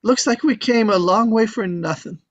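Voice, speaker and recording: A woman's voice: fairly high pitch, normal speed, no room sound, dull audio, lightly acted. A woman playing a loyal quest companion at a screenplay table read. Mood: questioning.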